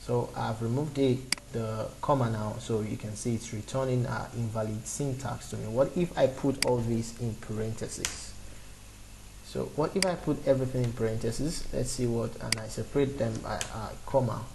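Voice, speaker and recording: A man's voice: mid-range voice, fairly even intonation, moderate pace, gravelly, noisy background. A man in his twenties teaching a beginner programming lesson off the cuff, talking through each step with fillers and restarts. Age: 20s. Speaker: programming tutor. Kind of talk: screen-recorded coding lesson.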